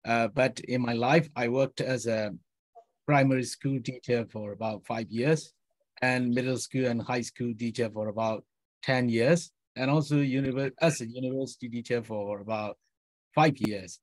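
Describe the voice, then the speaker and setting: A man's voice: mid-range voice, fairly even intonation, moderate pace, no room sound. Formal male teacher. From Myanmar. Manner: formal, polite. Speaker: teacher panelist. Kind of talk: webinar self-introduction. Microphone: video call.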